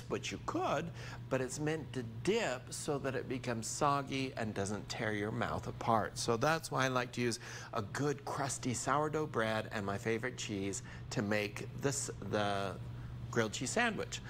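A man's voice: medium pitch, fairly bright tone, a little hiss or hum. An American man in his sixties presenting a cooking demonstration, explaining steps conversationally as a TV chef. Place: indoor TV studio kitchen.

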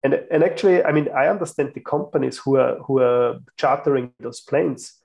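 A man from Austria talks as a guest on a remote podcast call. His voice has a gravelly, fry-laden edge, and he stumbles and restarts his sentences as he explains. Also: mid-range voice, fairly quick, a little mellow, lo-fi sound. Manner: hesitant, many restarts. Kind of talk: casual chat. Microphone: video call.

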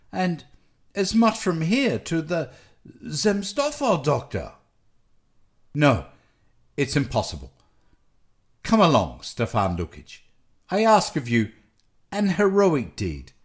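A man's voice: a little high, wildly swooping pitch, slow pace, long pauses, exaggerated voice. A man voicing a desperate, tearful wife who pleads urgently in a dramatic story. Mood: imploring. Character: desperate tearful young wife begging for help.

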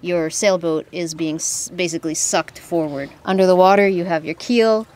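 A woman's voice: fairly low pitch, moderate pace. A woman in her thirties explaining sailing basics in a casual, practical way, outdoors on a sailboat with a handheld mic. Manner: explains with hand gestures, relaxed and practical. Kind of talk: casual explanatory talk to camera. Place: outdoors on the deck of a sailboat at sea. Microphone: handheld furry lavalier held near the mouth. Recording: faint wind and water noise.

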